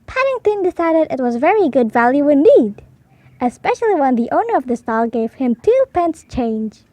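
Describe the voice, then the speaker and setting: A woman reading a children's storybook aloud as an expressive narrator. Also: high voice, moderate pace, no room sound. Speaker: storybook reader.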